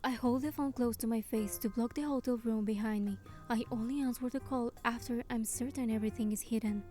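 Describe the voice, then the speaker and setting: Actress as a mellow young game heroine. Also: female voice, fairly high pitch, fairly even intonation, fairly quick, faint music behind, natural voice. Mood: reflective. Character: gentle young woman heroine narrating her secret surprise. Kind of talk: scripted game narration and dialogue.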